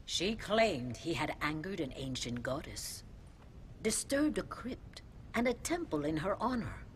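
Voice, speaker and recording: A pious mother superior nun in a video game, measured and sharp-tongued, her steady. Her voice sits a little low yet swings widely in pitch. A faint rumble hums behind her. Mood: musing. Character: stern middle-aged Mexican mother superior nun. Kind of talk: video game cutscene acting.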